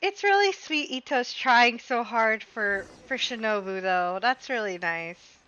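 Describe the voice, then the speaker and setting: A woman's voice: on the high side, animated, a little slow, sharp tone. A woman streaming a game, giving casual live reactions. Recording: slightly dull, dry close sound.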